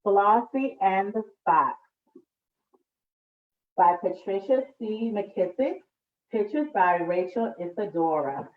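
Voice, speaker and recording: A woman's voice: medium pitch, expressive, slow pace, long pauses. A woman hosting a live children's read-aloud, chatting to families at storytime. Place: indoors at home, on a live video call. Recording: echoey room, distant muffled mic.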